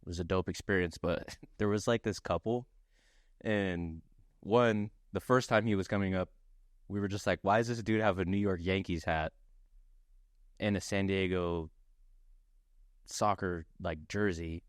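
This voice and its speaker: A man's voice: low voice, steady tone, unhurried, long pauses, no room sound, clean studio sound. A man chatting and telling stories casually as a podcast co-host, full of slang and swearing. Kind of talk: casual chat and storytelling.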